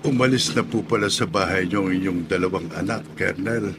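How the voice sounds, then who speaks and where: Isang lalaking aktor na gumaganap bilang magalang at alangang drayber sa dulang panradyo. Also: male voice, deep voice, fairly quick. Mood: concerned, tentative. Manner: deferential, hesitant. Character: meek, concerned male family driver. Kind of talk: Filipino radio drama acting. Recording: noisy vehicle ambience.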